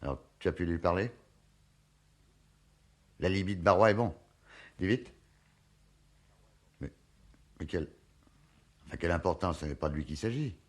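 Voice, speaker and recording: Male actor as stubborn detective, low-pitched, touchy, insistent, theatrical radio play delivery. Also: normal speed, long pauses, lightly acted. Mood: brisk, questioning. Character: dogged, touchy male police detective chasing a hunch. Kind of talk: French radio play acting.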